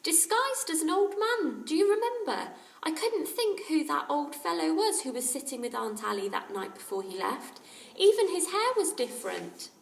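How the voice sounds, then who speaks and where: A woman voicing an eager, excitable young girl in an emphatic storybook reading. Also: normal speed, vocal fry, full character voice. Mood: excited. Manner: high, emphatic. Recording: roomy echo, distant microphone.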